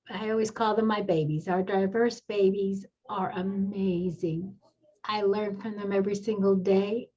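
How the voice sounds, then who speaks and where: Earnest woman from El Salvador, husky. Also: mid-range voice, dull audio. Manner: warm, earnest, emphatic advice. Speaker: panel speaker, educator. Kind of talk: panel talk on a video call.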